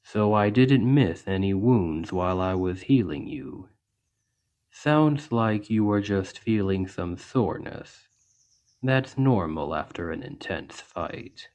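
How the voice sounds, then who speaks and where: A man voicing a towering, gentle orc healer who speaks patiently and reassuringly in an ASMR roleplay. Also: deep voice, expressive. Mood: calm, caring. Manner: slow, gentle, reassuring, deliberate. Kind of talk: ASMR roleplay spoken to the listener.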